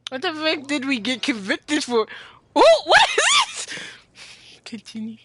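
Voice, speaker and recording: Chatty teen girl reacting loudly to a game. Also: high-pitched, wildly swooping pitch, a little slow, dry recording. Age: teens. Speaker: gameplay streamer. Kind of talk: casual gameplay commentary.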